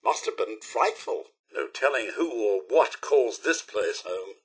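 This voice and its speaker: A man's voice: lowish voice, animated, measured pace, breathy edge. A man playing a polite, fussy robot butler in a video game, with a British accent and genteel, theatrical, wry courtesy. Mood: wistful, sombre. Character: polite, fussy male robot butler, chipper yet wistful. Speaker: robot companion. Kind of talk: video game companion remarks.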